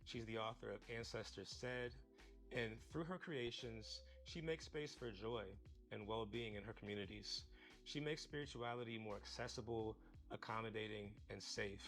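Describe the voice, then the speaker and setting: Male podcast host, low gravelly voice, earnest and measured, faint music behind. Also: a bit bright, poor audio. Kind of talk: podcast intro and interview. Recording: podcast clip played on stream, faint music.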